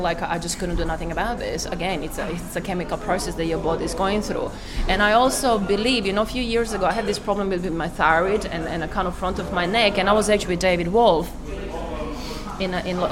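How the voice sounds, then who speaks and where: Chatty woman from Croatia, music behind. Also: lowish voice. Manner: halting, many fillers and restarts. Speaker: interviewee, cafe business owner. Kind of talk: casual interview chat.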